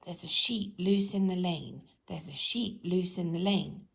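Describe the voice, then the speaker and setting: Woman as forgetful little man, storybook character, hesitant, muddled, good-natured, creaky edge. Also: on the low side, a little slow. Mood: concentrating. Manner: hesitant, dithering, stumbling over thoughts.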